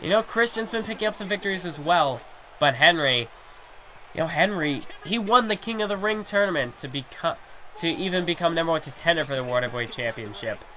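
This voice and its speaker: Hoarse man rambling through game commentary. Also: a little high, expressive, a little fast, sharp tone. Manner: rambling, heavy on fillers like "you know". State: hoarse, losing his voice. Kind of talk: play-by-play wrestling game commentary.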